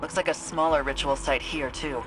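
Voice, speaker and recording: A woman's voice: low voice, steady tone, normal speed, lightly acted, poor audio. An actress playing a tough, pained female soldier in a video game, intense and urgent. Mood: strained, urgent. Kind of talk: dramatic video game voice acting. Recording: game dialogue under loud music.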